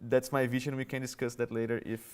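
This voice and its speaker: Man, Brazilian Portuguese accent, conference talk, smooth clear voice, mid pitch. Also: level tone, fast. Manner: conversational, explanatory, casually addressing the audience. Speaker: conference speaker. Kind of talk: conference presentation. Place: conference hall.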